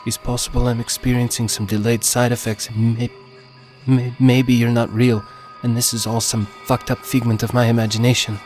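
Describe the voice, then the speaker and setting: A man's voice: medium pitch, level tone, moderate pace, darkish tone, faint music behind, voice pushed up, close to an everyday voice. A man voicing a frightened, foul-mouthed young Texan woman in an audio story, stammering and sarcastic as she shivers. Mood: disbelieving, rattled.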